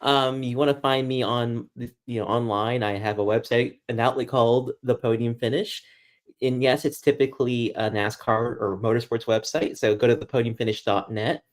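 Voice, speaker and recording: An American man from Boston talks as a guest sports journalist on a casual livestream. His voice sits at an average pitch. He is chatty and self-deprecating as he addresses the viewers. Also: fairly even intonation, normal speed. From Boston, United States.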